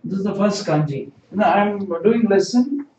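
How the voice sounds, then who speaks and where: Male kanji teacher, high voice, repetitive explainer, echoey room. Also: animated, normal speed, mellow, slight background noise. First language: not Japanese. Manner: explanatory, repeats words, asks "right?". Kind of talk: livestream kanji lesson. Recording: distant, roomy, dull lo-fi stream audio.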